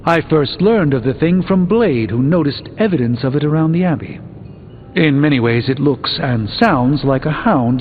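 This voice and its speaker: An actor playing a learned, composed middle-aged sorcerer in a video game, speaking with precise, formal, measured diction. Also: male voice, mid-range voice, phone-quality sound, a little hiss or hum, lightly acted. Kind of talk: video game character dialogue. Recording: band-limited game audio, long reverb, background music.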